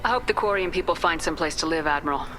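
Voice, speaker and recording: An actress playing a direct, controlled human military commander in a sci-fi video game. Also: female voice, deep voice, fast, lightly acted, low-quality recording. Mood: diplomatic. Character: composed, direct human female space commander. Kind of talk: video game dialogue acting. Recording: loud music behind the voice. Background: music.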